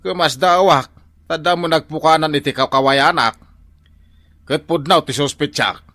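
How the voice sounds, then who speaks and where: An actor playing a grumpy old farmer who scolds and shouts at those who take from his crops. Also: fairly high pitch, normal speed, dry recording. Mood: accusing. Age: older. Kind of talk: comic radio drama acting.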